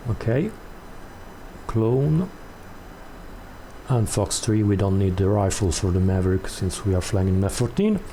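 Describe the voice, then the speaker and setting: Male tutorial host, unhurried and halting, slightly soft-toned, with light background noise. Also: lowish voice, very animated, poor audio. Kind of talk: step-by-step screen tutorial, unscripted explanation.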